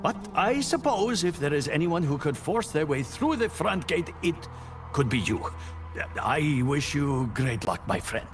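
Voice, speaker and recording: A courteous, self-preserving traveler from a video game. He is wry and wordy, with a lively, swooping delivery over clear background music. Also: fairly high pitch, unhurried. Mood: wry reluctance.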